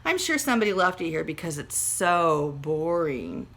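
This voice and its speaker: A woman's voice: deep voice, moderate pace, full character voice. A woman reading a storybook aloud, voicing a bored, unimpressed young boy with a dismissive manner. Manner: flat, dismissive. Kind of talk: children's storybook read-aloud.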